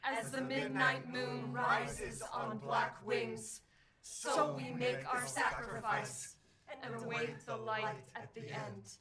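Voice actors playing frightened villagers chanting a slow prayer together, heard from afar in an echoing room. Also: female voice, on the high side, steady tone, over a noisy crowd. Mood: reverent. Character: villagers praying in chorus. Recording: echoey, distant group chant.

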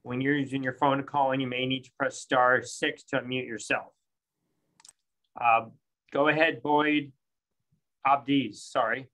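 Man, meeting chair, mid pitch, crisp bright tone, procedural, video call. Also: flat delivery. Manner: brisk, procedural, stumbles over names. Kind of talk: chairing public comment.

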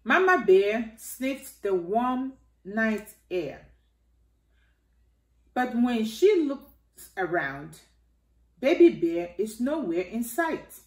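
Slow, animated woman reading to children. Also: on the high side. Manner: deliberate, expressive phrasing for children. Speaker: storytime host. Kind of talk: expressive children's picture-book reading. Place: small living room by staircase, indoors. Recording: roomy home echo.

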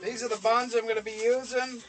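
A man's voice: very high-pitched, level tone, a little fast, a bit bright, soft background music, rough recording. A man in his thirties hosting a rowdy, goofy cooking livestream in a small kitchen, exuberant and given to whooping. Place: small camper kitchen, indoors.

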